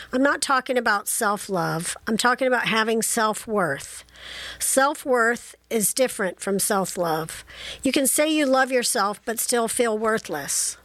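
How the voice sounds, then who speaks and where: A woman hosting community radio, chatting casually and reading passages aloud slowly from a studio microphone. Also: on the high side, fairly bright tone, no room sound.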